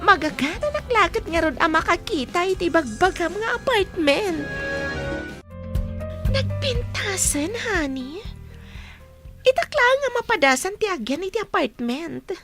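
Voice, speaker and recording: Woman performing a scheming young mistress, very high voice, swooping intonation, sugary and possessive, Ilocano accent, radio drama. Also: sing-song, unhurried, music in the background, heavily acted. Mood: sweet, delighted.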